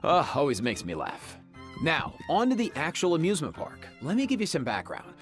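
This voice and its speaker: A man co-presenting a game preview program, mixing lively scripted explanation with friendly banter. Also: on the high side, a little fast, soft background music.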